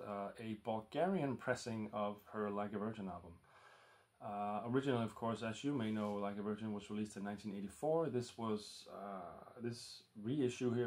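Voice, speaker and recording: Hesitant low-voiced man chatting. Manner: hesitant, many ums and restarts. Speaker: record collector vlogger. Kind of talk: casual talk to camera. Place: home room lit by a lamp.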